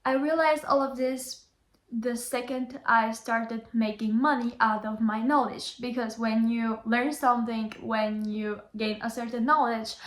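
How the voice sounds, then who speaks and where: A young adult woman, a Chinese tutor giving an earnest motivational vlog talk and repeating phrases for emphasis. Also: fairly high pitch. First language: Bulgarian. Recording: roomy echo.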